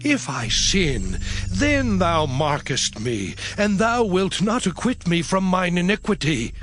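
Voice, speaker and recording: A man's voice: high voice, very animated, takes it slowly, noisy background, full character voice. An actor playing an afflicted, righteous man in a dramatized scripture reading, lamenting and pleading with God in solemn, archaic phrasing. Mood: anguished pleading. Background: music.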